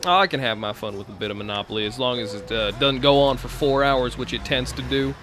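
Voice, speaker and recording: A man chatting casually in an earnest, halting, explanatory way, as a streamer in a game. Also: medium pitch, a crowd in the background. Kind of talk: casual chat over a game's CB radio. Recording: loud vehicle engine noise. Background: truck and road sounds.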